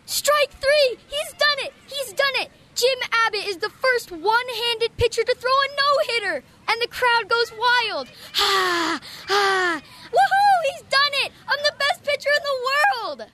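A woman's voice: extremely high pitch, expressive, unhurried, hardly any pauses, pushed and bright, a little hiss or hum, exaggerated voice. A woman playing an eager, determined young boy in an audio drama, given to mock sports commentary. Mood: ecstatic.

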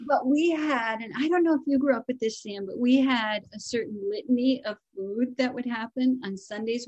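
A woman guest storyteller sharing casual personal anecdotes in an animated, humorous way from her home. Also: fairly high pitch, breathy edge, dull audio.

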